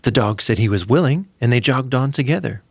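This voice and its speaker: Animated male fairy-tale storyteller. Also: medium pitch, a little fast, vocal fry. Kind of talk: scripted fairy-tale narration.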